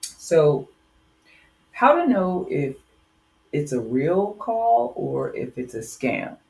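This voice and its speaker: Man giving a halting, informal explainer. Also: fairly high pitch, big pitch swings, creaky, a little mellow. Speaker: insurance agent explaining Medicare calls.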